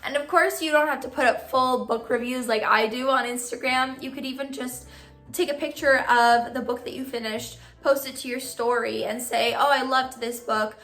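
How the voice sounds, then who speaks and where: A young woman vlogging casually to her viewers, giving friendly reading tips, her voice a bit hoarse from losing it. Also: high-pitched, steady tone, normal speed, fairly bright tone, roomy sound, soft background music. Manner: friendly advice-giving, filler "like". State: partly lost voice, hoarse. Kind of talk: casual vlog talk to camera.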